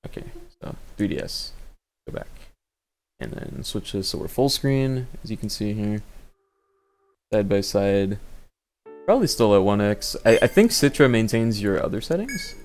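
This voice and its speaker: A man's voice: fairly low pitch, big pitch swings, unhurried, vocal fry, pauses often, darkish tone, faint music behind. A man giving an unscripted, casual gaming showcase, rambling and thinking aloud as he goes. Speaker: video creator demonstrating handheld gaming.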